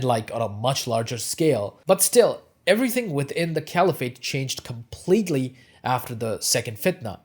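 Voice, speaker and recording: Urdu-speaking man narrating history. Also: mid-range voice. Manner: lively emphasis on transitions, falling at sentence ends.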